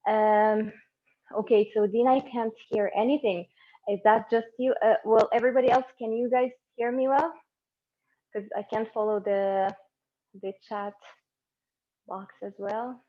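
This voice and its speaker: Woman teaching a relaxed online class. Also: fairly high pitch, a little slow, pauses often. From Egypt. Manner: relaxed and encouraging, with many fillers and restarts. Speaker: English conversation teacher. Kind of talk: live online conversation class. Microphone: laptop or phone mic on a video call. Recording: slightly dull online stream audio.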